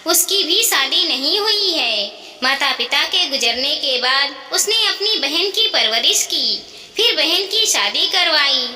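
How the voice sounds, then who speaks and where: Woman narrator as a high-voiced caring elderly mother-in-law. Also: lively intonation, unhurried, bright, forceful tone, full character voice. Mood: reassuring, persuasive. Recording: echoey, reverberant hall-like sound.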